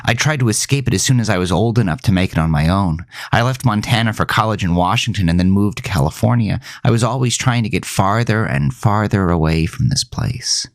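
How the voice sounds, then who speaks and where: A man's voice: fairly low pitch, a little fast, few pauses, no echo, studio quality. A man narrating a first-person horror audio drama in a somber, literary storytelling manner. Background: music.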